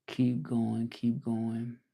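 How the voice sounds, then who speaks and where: Gravelly Southern man musing on a livestream. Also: medium pitch, monotone, extremely slow, mellow, low-quality recording. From Memphis, Tennessee. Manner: reflective, rambling, motivational. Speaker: livestream host. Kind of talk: casual livestream talk.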